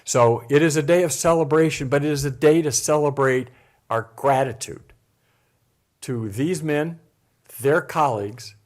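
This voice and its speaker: A man's voice: mid-range voice, darkish tone. An older man gives a formal, emphatic press conference speech as event organizer.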